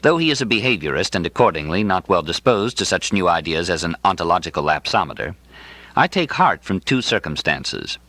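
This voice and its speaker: A man narrates a literary audiobook in a low, bright voice. His reading is precise and dryly expressive, with lively movement in the pitch. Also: a little fast, no echo, rough recording. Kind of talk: literary first-person novel narration.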